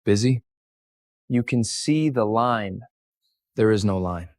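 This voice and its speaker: A man's voice: on the low side, takes it slowly, a little mellow, no room sound. A man voicing characters in an audio drama, trading dry, teasing lines.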